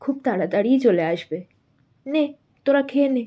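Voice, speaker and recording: A woman's voice: on the high side, animated, moderate pace, husky. A woman playing a worried, loving village mother of young children in a dramatized doll story. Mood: tender. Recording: muffled audio with faint music.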